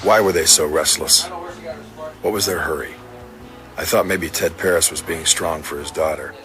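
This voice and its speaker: An actor playing a brooding police detective musing tersely in an audio drama monologue. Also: male voice, rumbling low, moderate pace. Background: music.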